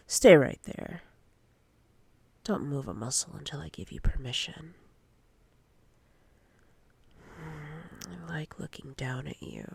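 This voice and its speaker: Female boss for roleplay ASMR , played by a woman: menacing and condescending, very husky half-whisper, unhurried commands. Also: low-pitched, a little mellow, full character voice. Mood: smug, teasing. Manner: slow, deliberate, commanding, condescending. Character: domineering, menacing female corporate boss toying with a subordinate.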